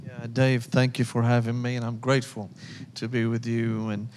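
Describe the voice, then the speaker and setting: A man's voice: mid-range voice, level tone, moderate pace, a little mellow, slight background noise. A man from Syria, a guest pastor, telling his faith story in an earnest preaching style with emphatic rises. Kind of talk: sermon-style testimony. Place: church sanctuary. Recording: long church hall reverb.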